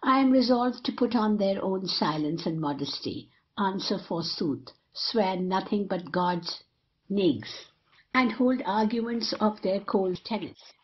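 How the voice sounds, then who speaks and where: A woman's voice: fairly low pitch, highs cut off, close to an everyday voice. A woman playing a cynical, scheming young male rake in a period stage comedy, boastful and rhetorical.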